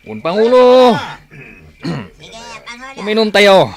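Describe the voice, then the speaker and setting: A performer playing a servile, excitable bandit henchman in an Ilocano comic radio drama, eager and sing-song, with an Ilocano accent. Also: medium pitch, slow pace. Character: fawning, excitable bandit henchman echoing his boss.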